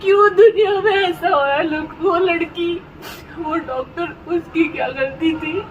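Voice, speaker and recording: A woman's voice: very high voice, moderate pace, lo-fi sound, slight background noise. A young woman who is crying and distraught, pleading and repeating anguished questions in an emotional outpouring. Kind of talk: emotional outpouring to camera and to God.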